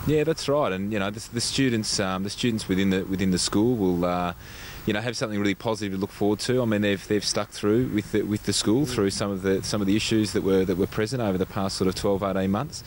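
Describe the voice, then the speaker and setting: A man's voice: lowish voice, fast talker, darkish tone, a little hiss or hum. An Australian man giving spontaneous interview answers as a school representative, often repeating the start of a phrase. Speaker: school leader being interviewed.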